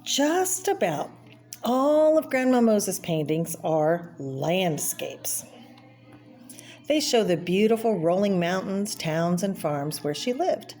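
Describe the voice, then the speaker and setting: A woman's voice: mid-range voice, big pitch swings, takes it slowly, darkish tone, soft background music. A woman reading a children's book aloud in a plain, explanatory way, as if to young listeners. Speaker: reader of a children's art book. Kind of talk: read-aloud narration with brief personal asides. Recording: clear background noise, homemade read-aloud video.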